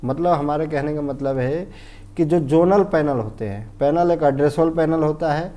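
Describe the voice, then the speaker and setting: A man's voice: a little high, moderate pace, dark tone. A man with an Indian accent giving an informal technical lesson as a fire safety instructor, explaining step by step, repeating himself and often checking that he is understood. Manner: didactic, repetitive, often checks understanding and asks himself questions.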